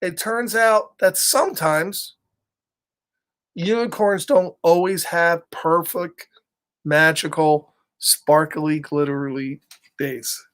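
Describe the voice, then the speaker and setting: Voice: medium pitch, slow, a bit bright. A children's storyteller reading a picture book casually, in simple repeated phrases, checking in with listeners.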